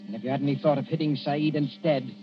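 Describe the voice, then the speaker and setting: An actor playing a wily, commanding English adventurer in 1940s radio style, speaking persuasively and deliberately in simple phrases. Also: male voice, on the high side, steady tone, music in the background, natural voice. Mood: low, menacing. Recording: muffled, noisy 1940s radio recording.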